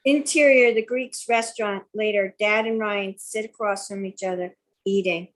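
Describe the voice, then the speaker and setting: A woman's voice: mid-range voice, fairly even intonation, normal speed, poor audio. An older woman reading screen directions aloud for a live script table read.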